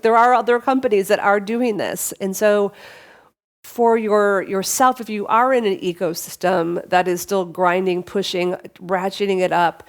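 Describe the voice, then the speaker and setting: A middle-aged woman with a cold, sounding sniffly as she talks through a solo podcast. Her voice sits on the low side and has a gravelly edge. She speaks earnestly, often repeating or restarting words while addressing her listeners as "you all.". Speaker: podcast host. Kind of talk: reflective solo podcast talk.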